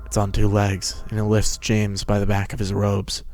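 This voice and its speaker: Low-voiced man reading a dramatic story. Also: completely flat delivery, normal speed, darkish tone, faint music behind. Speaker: narrator, fan fiction reader. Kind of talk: dramatic scripted story narration.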